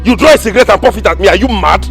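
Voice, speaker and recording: An actor with a Nigerian accent playing an indignant man who protests loudly and wants his money back. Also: sits high, lively intonation, rapid-fire, faint music behind. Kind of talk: Nollywood drama acting.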